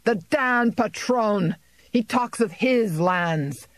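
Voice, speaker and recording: A reader voicing a defiant male tenant farmer of about thirty who speaks harshly and shouts in anger. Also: medium pitch, expressive, normal speed, no echo, voice pushed up, close to an everyday voice. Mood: furious. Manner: shouting, harsh and rough-spoken.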